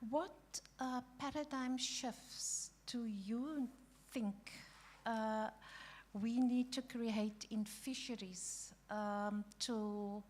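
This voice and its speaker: A woman chairing a conference panel, speaking haltingly with many restarts and fillers. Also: fairly high pitch, steady tone, darkish tone. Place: conference hall.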